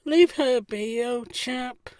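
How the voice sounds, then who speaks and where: A woman playing a plain-spoken, kindly young man in a 1919 audio drama, earnest and measured. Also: sits high, darkish tone, no room sound. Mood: matter-of-fact.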